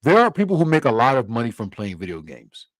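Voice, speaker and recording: A man's voice: medium pitch, huge pitch swings, fast talker, no room sound, clean studio sound. A young man from Cameroon hosting a casual livestream, answering viewer comments in a loose, easygoing way with many restarts. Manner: laid-back, loose, filler-heavy with frequent restarts. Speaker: livestream host.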